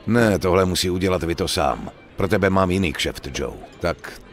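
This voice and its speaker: An actor playing a cool, businesslike mobster in a dubbed game, terse, distrustful and authoritative. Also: male voice, deep voice, expressive, a little hiss or hum. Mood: businesslike, stern. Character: cool, businesslike male mobster giving out jobs. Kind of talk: dubbed video game cutscene acting.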